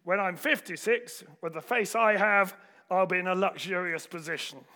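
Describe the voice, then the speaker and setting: Man quoting a vain, high-voiced older man. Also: moderate pace, sharp tone. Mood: boastful, insistent. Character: seventy-six-year-old man, vain, pleading to be legally younger.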